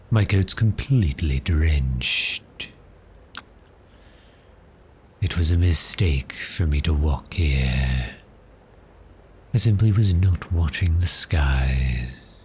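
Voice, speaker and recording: A man playing a cheerful, courteous vampire posing as a customer, speaking politely and gently in an ASMR roleplay. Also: rumbling low, slight background noise. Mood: genial, apologetic. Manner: polite, unhurried, softly genteel with measured pauses.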